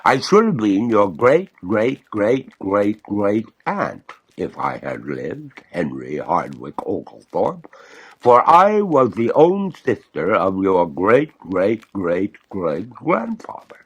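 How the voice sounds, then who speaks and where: A man voicing a haughty, doleful female water ghost in a storybook reading, slow, drawn-out, formal and theatrical. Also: lowish voice, huge pitch swings, breathy edge, exaggerated voice. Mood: doleful, grand. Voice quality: gurgling.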